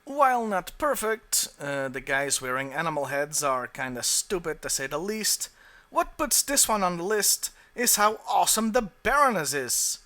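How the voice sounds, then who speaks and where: A man's voice: high-pitched, big pitch swings, normal speed, pushed and bright. A man narrating a scripted countdown review as the host.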